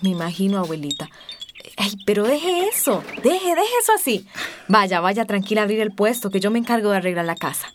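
Casting: actress for gentle, helpful woman in a radio soap, mid-pitched, clear background noise. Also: animated, brisk, close to an everyday voice. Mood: reassuring. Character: kind, helpful young woman comforting an elderly neighbour.

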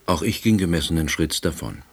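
A man's voice: deep voice, a little fast, heavy background noise, close to an everyday voice. An actor playing a wry, middle-aged former official, telling his own story in dry, wordy scripted narration. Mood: deadpan, wry. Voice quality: husky. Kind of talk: scripted first-person narration.

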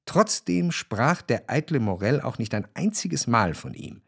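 A man's voice: medium pitch, big pitch swings, unhurried, creaky, a bit bright, slightly rough, no echo. Male literary narrator, very dry sound. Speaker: narrator of a radio drama. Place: dry studio.

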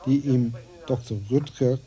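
A male actor as the narrator of a crime radio play, telling the story in a measured, scripted way. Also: medium pitch, little pitch movement, noisy background, close to an everyday voice. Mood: measured, wry.

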